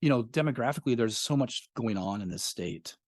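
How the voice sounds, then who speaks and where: A man's voice: medium pitch, expressive, fairly quick, darkish tone, dry recording, clean studio sound. A middle-aged man, a podcast creator talking as a guest in a thoughtful, hesitant way. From Washington State. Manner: thoughtful, hesitant, many fillers and restarts. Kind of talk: casual interview chat.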